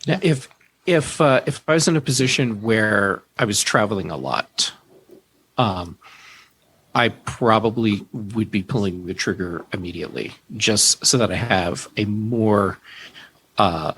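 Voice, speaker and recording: A man's voice: lowish voice, a little slow, a bit bright, slight background noise. A man speaking as a podcast guest in casual tech chat, conversational and emphatic.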